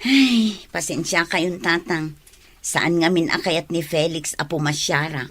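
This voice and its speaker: An actress playing a patient, long-suffering wife and mother in a radio drama, with a husky voice and an Ilocano accent. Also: lowish voice, a little slow, fairly bright tone. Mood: gentle, apologetic.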